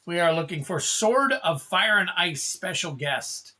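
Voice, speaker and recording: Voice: mid-range voice, animated, unhurried, pushed and bright. A livestream host opening card packs, chatting casually, calling out card names and thanking viewers in a rambling way.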